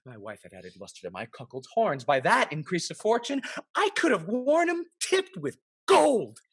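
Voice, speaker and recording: An actor playing a bitter, cuckolded husband in a Restoration comedy, scheming and sardonic, with florid, declamatory delivery. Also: male voice, sits high, sing-song, normal speed, husky, dry recording, full character voice. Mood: angry, resentful. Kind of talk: theatrical Restoration comedy acting.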